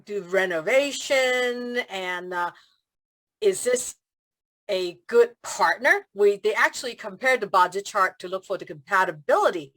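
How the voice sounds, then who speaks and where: A woman from Hong Kong, a feng shui consultant chatting as a guest over a video call, telling stories with animated quoted voices and frequent laughter. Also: mid-range voice, unhurried. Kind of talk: casual interview chat.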